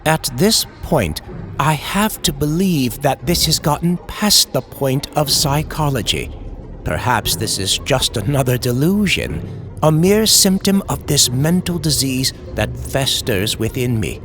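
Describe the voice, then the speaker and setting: A man's voice: medium pitch, animated, measured pace, lightly acted. A man playing a tormented horror writer, narrating his fears in a grave, brooding, formal old-time radio style. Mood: dread, anguish. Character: tormented male horror writer fearing demons, guilt-ridden. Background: music.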